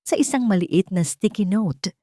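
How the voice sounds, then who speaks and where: A woman with a Filipino accent reading a scripted romance story in a measured, dramatic way with short pauses between phrases. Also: mid-range voice, expressive, mellow, dry recording, clean studio sound. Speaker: story narrator.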